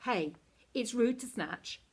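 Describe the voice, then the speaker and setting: A woman's voice: a little high, animated, slow pace, husky, voice pushed up, exaggerated voice. A woman voicing a confrontational schoolgirl in a storybook, with a taunting, defiant manner. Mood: taunting, hostile. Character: spiky, confrontational schoolgirl with plaits.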